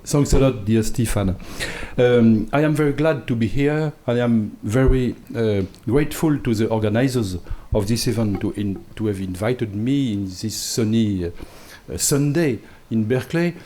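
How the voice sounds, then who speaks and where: A man's voice: mid-range voice, measured pace, few pauses, mellow, slight background noise. A man with a French accent, a native French speaker, giving an academic lecture on law in careful, hesitant English full of fillers and restarts. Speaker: invited law professor speaker.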